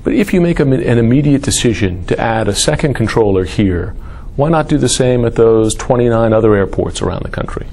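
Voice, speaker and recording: A man's voice: medium pitch, lively intonation, brisk, vocal fry, darkish tone, slight background noise. A man putting pressing questions as a reporter in an interview.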